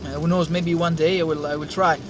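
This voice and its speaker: A man's voice: on the high side, level tone, a little fast, noisy background. A young Italian man, a native Italian speaker, talking casually in English as host of a science channel, hesitant, with many fillers and restarts. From Italy. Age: young adult. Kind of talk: casual talk to camera.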